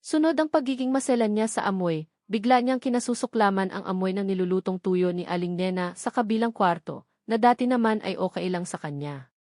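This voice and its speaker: A woman with a Filipino accent narrating a scripted dramatic story as an audiobook, in a deliberate, emphatic way with short dramatic phrases. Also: mid-range voice, a little mellow, no echo, studio quality.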